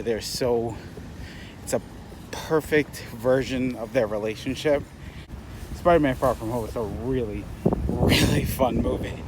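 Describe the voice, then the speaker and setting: A man giving a casual, unscripted movie review as a vlogger, in a halting, rambling way that trails off mid-thought. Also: medium pitch. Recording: loud outdoor urban traffic noise.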